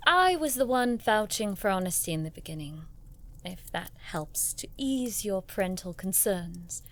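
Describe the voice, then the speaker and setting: A woman's voice: mid-range voice, very animated, normal speed. An actress playing a clever, defiant young noblewoman in an audio drama, with a sardonic, formal turn of phrase. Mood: wry, defensive. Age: young adult.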